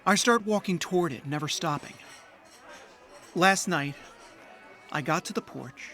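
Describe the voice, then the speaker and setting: A man's voice: on the high side, unhurried, bright, forceful tone. An actor playing an ordinary, lonely young man in an audio drama, earnest and a little hesitant. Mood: wistful, earnest. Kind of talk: audio drama dialogue and first-person narration.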